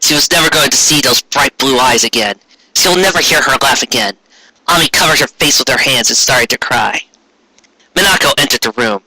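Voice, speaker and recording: Male narrator for amateur fiction reading: very forceful, bright tone, a bit husky, occasionally stumbling. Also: mid-range voice, a little fast, no echo, low-quality recording. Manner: stumbles over words while reading. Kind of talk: dramatic reading of fan fiction.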